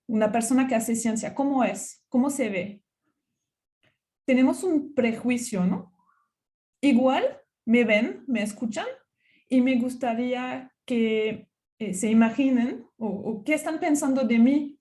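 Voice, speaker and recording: A woman's voice: a little high, mellow. A middle-aged woman from France with a French accent gives a conference talk as a university researcher, with many fillers and restarts.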